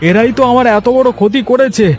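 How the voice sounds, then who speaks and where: A man's voice: extremely high pitch, fairly even intonation, quick pace, faint music behind, pitched above the performer's own voice. A man voicing an aggrieved, honest village fish trader in a cartoon, speaking emphatically and earnestly. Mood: indignant. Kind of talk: Bengali cartoon story acting.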